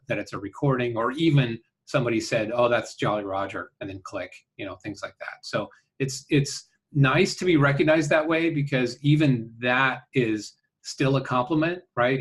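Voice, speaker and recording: A man answering interview questions conversationally, earnest and halting, often checking in with a "right?". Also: medium pitch. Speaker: interview guest, company founder.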